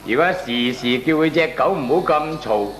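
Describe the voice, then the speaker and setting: An actor playing a deliberate, opinionated male neighbour in a comic radio drama, with a Hong Kong Cantonese accent. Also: a little high, a bit bright, close to an everyday voice. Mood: reasoning. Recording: echoey radio play with music behind.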